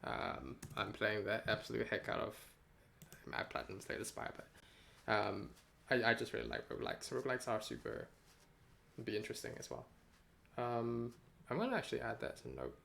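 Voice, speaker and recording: A man's voice: medium pitch, lively intonation, normal speed, vocal fry, sharp tone, a little hiss or hum. A man chatting casually and unscripted on a game development livestream, rambling as he thinks aloud and swearing freely.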